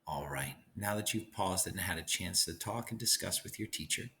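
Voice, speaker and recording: A man with a very low voice leads a recorded read-aloud lesson. He speaks as a teacher, addressing his students directly in an instructional way. There is faint noise behind him. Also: normal speed, gravelly, sharp tone.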